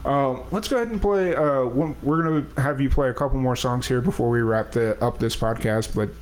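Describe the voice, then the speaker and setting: A man's voice: medium pitch, fairly quick. A man hosting a podcast live stream, talking in a rambling, hesitant, self-deprecating way. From United States. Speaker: podcast host. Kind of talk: casual live-stream chat.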